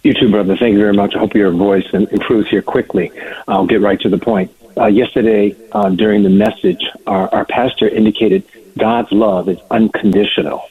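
A man calls into a radio show from Southern California and politely asks his question, citing scripture references. His voice is husky and fairly low. It comes through a tinny, narrow telephone line. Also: fairly even intonation, normal speed. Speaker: caller. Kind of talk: asking a Bible question on call-in radio.

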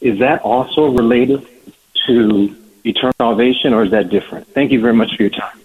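A man's voice: medium pitch, fairly quick. A male caller from Southern California politely asking a Bible question on call-in radio, over a telephone. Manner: polite, reads out scripture references. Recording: husky tinny phone line.

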